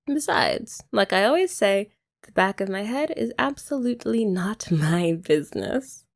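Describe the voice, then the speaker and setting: A young woman doing a soft, teasing, affectionate ASMR girlfriend roleplay, often laughing, close to the mic. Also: medium pitch, lively intonation, unhurried.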